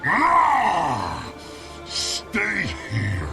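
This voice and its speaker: An actor playing a bitter, vengeful monster in a game, with a gravelly voice and a theatrical, accusing delivery. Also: male voice, sits high, wildly swooping pitch, extremely slow, heavily acted. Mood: furious. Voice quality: gravelly, creaky. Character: bitter, vengeful male monster who distrusts all humans. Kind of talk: video game cutscene acting. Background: music.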